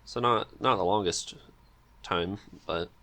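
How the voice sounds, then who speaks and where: A man's voice: low voice, takes it slowly, vocal fry, bright, forceful tone. A man hosting a casual interview podcast, agreeable and echoing what the guest says.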